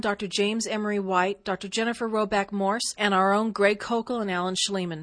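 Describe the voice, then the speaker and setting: Woman reading a polished radio promo. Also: medium pitch. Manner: smooth, measured delivery. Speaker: announcer. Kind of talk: scripted promo read.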